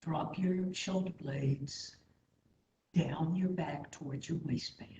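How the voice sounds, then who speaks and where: Slow, mellow woman guiding meditation. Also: low voice, slight background noise. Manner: soothing, unhurried, gentle. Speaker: meditation and yoga instructor. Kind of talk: guided meditation. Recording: echoey room, slightly dull sound.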